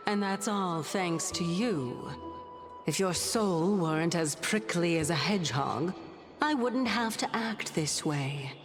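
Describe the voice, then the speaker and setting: Low, haughty ancient goddess. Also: female voice, lively intonation, over music. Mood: teasing. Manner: measured, haughty, teasing. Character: sly, teasing female titan of reason, ancient. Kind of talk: scripted video game dialogue.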